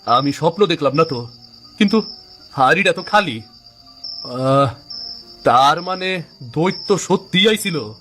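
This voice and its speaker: A man's voice: fairly high pitch, lively intonation, a little slow, long pauses, fairly bright tone, faint music behind. A man playing a poor, kind-hearted village cook in a cartoon, with an earnest, humble manner and a rural Bangladeshi accent. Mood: wondering. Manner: earnest, humble, homely dialect. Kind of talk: cartoon story dialogue.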